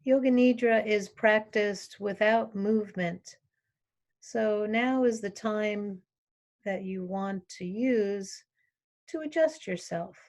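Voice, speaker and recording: A woman teaching yoga nidra, guiding a relaxation meditation in calm, short instructional phrases with long pauses between them. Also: mid-range voice.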